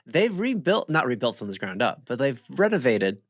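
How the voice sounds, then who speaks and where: A man's voice: mid-range voice, very animated, moderate pace, no room sound, clean studio sound. A man co-hosting a podcast, chatting casually in a slangy, emphatic, bantering way. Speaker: podcast co-host. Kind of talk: casual chat.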